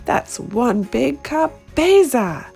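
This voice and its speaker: A woman putting on a mischievous little boy cat, chanting and sing-songing his rhymes with a put-on Spanish accent. The voice sits fairly high and bounces lively up and down, in a storybook read-aloud. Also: extremely slow, gravelly, slightly rough, soft background music, heavily acted. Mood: playful. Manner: playful sing-song chanting. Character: mischievous young boy Siamese cat who fancies himself a Chihuahua.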